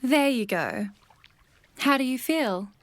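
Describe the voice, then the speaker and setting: A woman playing a caring, supportive bird partner in an audio drama, with a gentle, reassuring and natural delivery. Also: high voice, expressive, slow, dry recording. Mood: encouraging.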